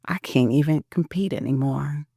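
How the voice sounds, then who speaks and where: Narrator as aging wife in an audio drama: guarded, sad, insecure, soft and hushed, dry recording. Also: medium pitch, moderate pace, gravelly, no room sound. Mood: whispered despair.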